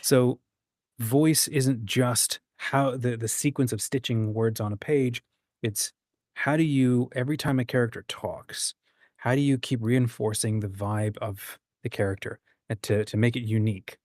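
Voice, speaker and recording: Male discussion host, average pitch, dry recording, casual and explanatory, given to quoting imagined dialogue. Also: steady tone, a little slow, a little mellow, no echo. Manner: acts out short quoted examples.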